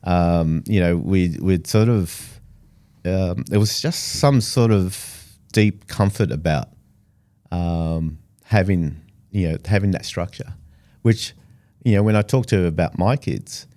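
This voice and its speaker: A man's voice: low voice, slow pace, dark tone. A middle-aged Australian man, first language Italian, talking casually as an interview guest with many fillers and restarts. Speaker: engineer guest.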